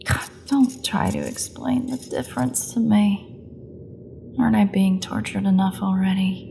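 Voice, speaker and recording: A woman playing a starving, captive vampire in an audio roleplay, sardonic and teasing, often dropping into breathy half-whispers. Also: mid-range voice, steady tone, unhurried, warm tone. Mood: bitter, sarcastic. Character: starving captive female vampire, taunting, flirtatious, desperate. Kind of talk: scripted audio roleplay monologue.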